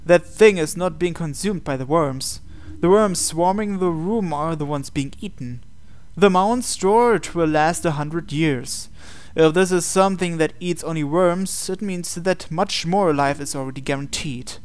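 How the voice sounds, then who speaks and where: A man hosting a game playthrough, reading visual novel text aloud and commenting on it in a measured way with pauses between phrases. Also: on the high side, clear, resonant tone.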